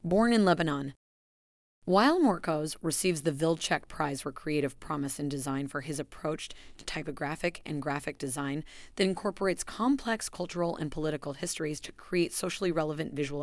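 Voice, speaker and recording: A woman with a low, deep voice reads out a formal announcement. Her delivery is measured and even. The recording is dry, with no room sound. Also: no echo. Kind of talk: scripted narration.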